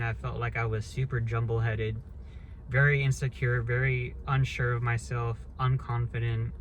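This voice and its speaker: Thirties guy ranting casually, blunt, curses a lot, rambles, mid pitch, faint hiss behind him. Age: 30s. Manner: blunt, rambling, swears freely. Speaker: vlogger. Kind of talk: casual monologue rant.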